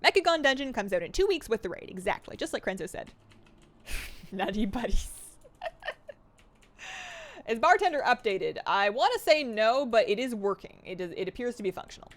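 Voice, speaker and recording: A young woman streaming a game live, chatting excitedly and reading her chat aloud. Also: on the high side, normal speed, pauses often.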